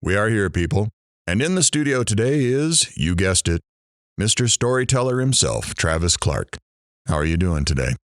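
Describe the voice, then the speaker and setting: Man acting as radio talk show host, chatty, showy, lively melody, low pitch, dry studio sound. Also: moderate pace, no echo. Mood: upbeat, showy.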